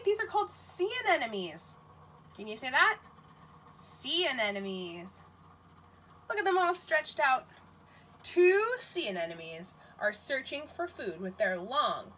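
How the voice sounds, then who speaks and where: A woman's voice: high voice, big pitch swings, slow, fairly bright tone, a little hiss or hum. A woman reading a picture book aloud to young children as their teacher, expressively, often putting questions straight to them.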